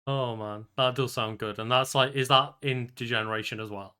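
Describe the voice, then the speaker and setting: A man's voice: medium pitch, steady tone, a little fast, pushed and bright, clear, resonant tone. A man co-hosting a podcast, talking informally and conversationally. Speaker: podcast co-host. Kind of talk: casual podcast chat.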